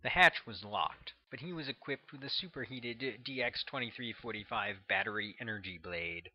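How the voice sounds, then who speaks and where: Male audiobook narrator, author reading, scripted prose, lower-pitched than some but ordinary, dull lo-fi sound. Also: moderate pace, breathy edge. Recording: dull, lo-fi recording.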